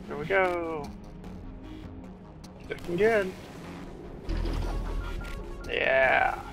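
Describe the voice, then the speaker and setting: Guy doing offhand playthrough commentary, super croaky, kind of talking to himself, game music in back. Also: fairly high pitch, drawn-out words. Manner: musing, talking half to himself. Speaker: gamer giving playthrough commentary. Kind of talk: casual, off-the-cuff game commentary. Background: video game sound and music.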